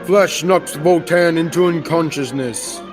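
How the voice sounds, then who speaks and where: A man's voice: a little high, unhurried, natural voice. Teen boy as a theatrical radio serial announcer. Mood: dramatic. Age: teenager. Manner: florid, theatrical. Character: dramatic old-time radio serial announcer. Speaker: student actor, narrator. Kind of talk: scripted dramatic narration. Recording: music behind the voice.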